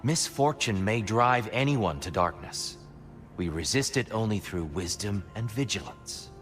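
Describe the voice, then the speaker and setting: An actor playing a wise male mentor in a game, speaking in a measured, philosophical way. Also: lowish voice, expressive, a bit bright, soft background music. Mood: calm, instructive. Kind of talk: cinematic game dialogue.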